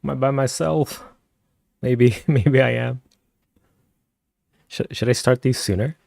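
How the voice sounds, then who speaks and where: A man chatting casually with viewers on a live stream, speaking softly and keeping his voice down at night at home, and laughing often. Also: slow pace, creaky, no echo, clean studio sound. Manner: soft-spoken, keeps voice low at night.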